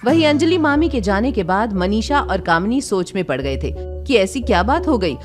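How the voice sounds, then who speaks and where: A woman narrates a serial drama in a scripted, dramatic style. Her voice is of medium pitch, and music is clearly present behind her. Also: very animated, normal speed.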